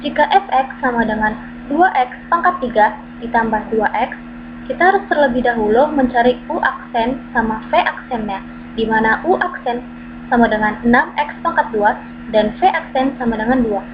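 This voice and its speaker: A woman's voice: on the high side, moderate pace. Woman reading a math lesson, lo-fi. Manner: steady, methodical, step-by-step reading of formulas. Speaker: math tutor. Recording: dull lo-fi recording with clear background hum.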